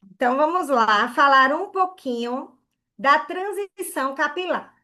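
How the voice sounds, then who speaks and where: A woman's voice: high-pitched, lively intonation, unhurried, pushed and bright. A woman from Bahia, giving an online hair care class in an earnest way and often checking in with "né?" and "tá?". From Bahia, Brazil.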